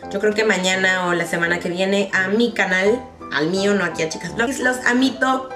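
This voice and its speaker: A young woman with a Mexican accent, chatting to camera in a playful, expressive way as she gives advice. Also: medium pitch, moderate pace. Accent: Mexican Spanish. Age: young adult. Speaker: vlogger. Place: small bedroom, indoors. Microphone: camera mic at a short distance. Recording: roomy bedroom, distant camera mic, music.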